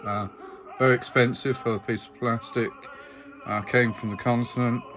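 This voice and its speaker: A man giving a casual, unscripted hands-on demonstration of his radio collection, speaking in hesitant, halting phrases. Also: mid-range voice, fairly even intonation, over music.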